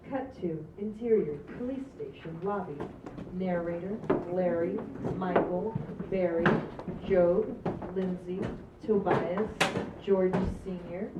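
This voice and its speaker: Woman reading stage directions, echoey, noisy. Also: on the low side. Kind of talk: reading script directions at amateur table read. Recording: muffled, echoey, distant, noisy mic.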